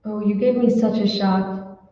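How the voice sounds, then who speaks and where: A woman's voice: mid-range voice, fairly even intonation, a little slow, natural voice. Woman as a soothing wife in a reverberant hall. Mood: concerned, soothing. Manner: gentle, reassuring. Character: concerned, soothing wife in radio play. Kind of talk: old-time radio drama acting. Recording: reverberant hall, muffled, distant, noisy.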